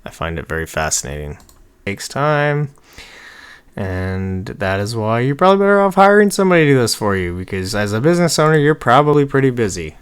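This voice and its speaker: A man's voice: mid-range voice, big pitch swings, brisk, clean tone. A man in his thirties giving an informal screen-share tutorial, thinking aloud in a rambling way as he works at his computer. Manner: rambling, informal, muttering to himself while working. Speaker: online marketing tutorial host. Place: small indoor room at a computer.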